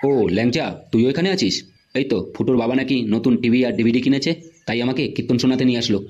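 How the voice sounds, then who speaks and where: Man acting stern, quick-talking cartoon dad. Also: medium pitch, steady tone, darkish tone, dull audio, slight background noise, lightly acted. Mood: suspicious. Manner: gruff, scolding, demanding. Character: stern, angry middle-aged father. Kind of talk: comedy cartoon dialogue.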